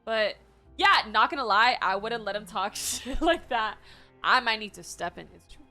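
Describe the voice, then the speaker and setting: A woman's voice: mid-range voice, normal speed, bright, forceful tone, soft background music. A woman doing casual gaming commentary, slangy and chatty, talking back to the characters. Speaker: streamer reacting to a game.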